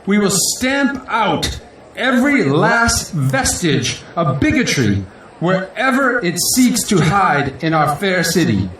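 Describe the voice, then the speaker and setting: A man's voice: sits high, very animated, slow, fairly bright tone, full character voice. An actor playing a proud, idealistic male politician in an audio drama, giving a grandiose, earnest victory speech in declamatory rally style. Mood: rousing, fervent. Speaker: newly elected city council president. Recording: echoey hall, distant mic, crowd noise. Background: crowd and faint noise.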